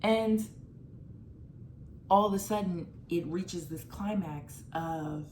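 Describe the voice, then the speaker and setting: Chatty young woman with a mellow voice. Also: on the low side, a little hiss or hum. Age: 20s. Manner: chatty and emphatic, full of "like", swings from fast to drawn out. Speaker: movie commentator. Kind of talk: casual movie commentary.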